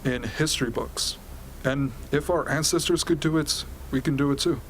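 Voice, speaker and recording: A man's voice: fairly high pitch, moderate pace, a bit bright, a little hiss or hum. A man playing a confident, persuasive political strategist in an amateur radio drama. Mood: assured, persuasive. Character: confident, smooth-talking male political strategist.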